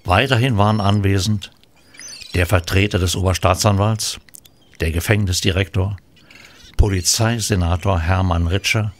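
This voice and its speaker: A man narrating a radio play from a script in a measured, sombre storytelling manner. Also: sits low, fairly even intonation. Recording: clear background noise.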